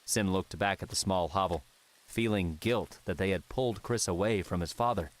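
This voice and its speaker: A man's voice: deep voice, normal speed, low-quality recording. A man narrating a fantasy adventure audiobook from a script.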